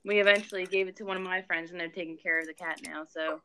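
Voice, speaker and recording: A woman's voice: fairly low pitch, steady tone, fast, noisy background. An American woman chatting casually on an online video call. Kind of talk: casual chat.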